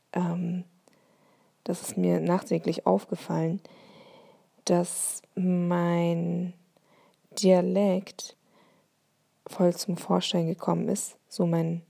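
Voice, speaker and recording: A woman's voice: lowish voice, flat delivery, drawn-out words, vocal fry, long pauses, mellow, husky. A woman from Bavaria with a light Bavarian accent, thinking aloud in a solo podcast monologue, with many hesitations and fillers. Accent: light Bavarian German.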